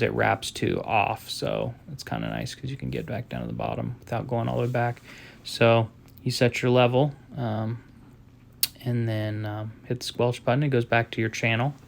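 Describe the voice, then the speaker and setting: A man demonstrates a product in an informal, step-by-step tutorial. His mid-pitched voice has plenty of vocal fry, and he explains things in a halting, matter-of-fact manner. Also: expressive, slight background noise.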